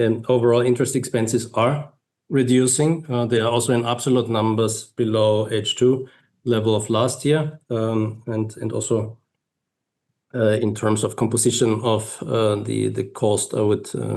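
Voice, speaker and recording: A man speaking as a finance executive on an earnings call, explaining figures in a measured, halting way. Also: lowish voice, a little mellow. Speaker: finance executive presenting company results. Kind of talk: earnings call presentation and answers.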